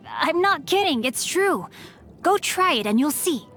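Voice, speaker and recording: A woman's voice: high voice, very animated, takes it slowly, fairly bright tone, full character voice. A woman voicing a courteous, bookish teenage boy martial artist in a video game, with refined, eloquent and formal diction. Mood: playful, earnest. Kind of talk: video game character voice lines. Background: music.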